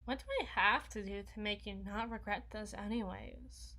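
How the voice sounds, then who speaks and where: A woman's voice: medium pitch, steady tone, moderate pace, bright, forceful tone. A woman voicing an awkward, needy, housebound young vampire in an ASMR roleplay, rambling and stammering as she corrects herself. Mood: awkward, defensive. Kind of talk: intimate ASMR roleplay monologue.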